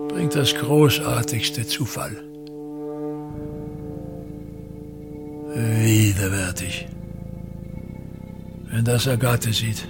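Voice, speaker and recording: An actor playing a pompous, embittered ageing actor-manager in a radio drama, scornful and declamatory, with a husky, gravelly voice and halting delivery. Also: male voice, mid-range voice. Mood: disgusted grumbling. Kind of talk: theatrical radio drama monologue.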